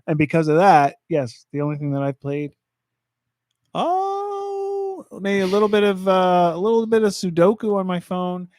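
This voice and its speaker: A man's voice: sits high, big pitch swings, moderate pace, darkish tone, no room sound, studio quality. A man co-hosting a video game podcast, joking around playfully in casual chat. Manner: playful, jokey. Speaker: podcast co-host.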